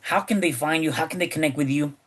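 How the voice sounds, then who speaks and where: A man's voice: fairly high pitch, fast. Rambling man hosting a podcast. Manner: rambling, many repeated words and restarts. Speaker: podcast host. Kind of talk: casual podcast conversation.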